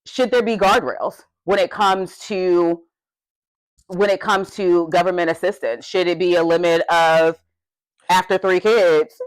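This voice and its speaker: A young adult woman co-hosting a podcast, talking in an emphatic, passionate way with a loud voice that carries. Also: fairly low pitch, fairly even intonation, measured pace, dry recording, rough recording. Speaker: podcast co-host. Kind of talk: casual podcast discussion.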